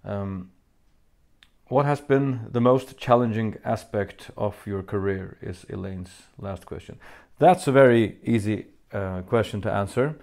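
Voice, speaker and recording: A man from the Faroe Islands, a native Faroese speaker, answering fan questions in a casual monologue, halting with many fillers and restarts. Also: on the low side, warm tone.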